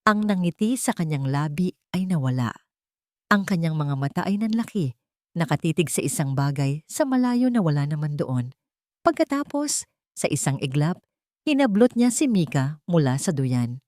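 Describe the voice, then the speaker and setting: A woman narrates a dramatic audio story in a low-pitched voice. Her delivery is deliberate and emotive, and the sound is dry, with very little room around it. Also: big pitch swings, warm tone, no echo.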